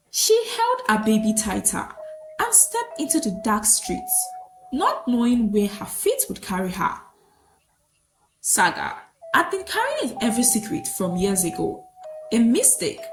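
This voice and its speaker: Female folktale narrator, solemn and suspenseful, unhurried, with highly animated intonation over faint music. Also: rough recording. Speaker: storyteller. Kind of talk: dramatic scripted folktale narration.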